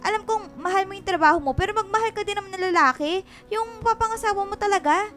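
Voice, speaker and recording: An extremely high-voiced, bossy young woman teases her best friend in a Filipino radio drama. She is slangy and exclamatory. Soft music sits behind her. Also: quick pace. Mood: teasing, exasperated.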